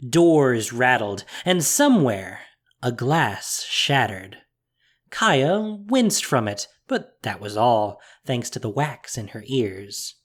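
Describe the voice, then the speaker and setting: Unhurried, expressive male story narrator. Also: mid-range voice. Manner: measured, expressive reading.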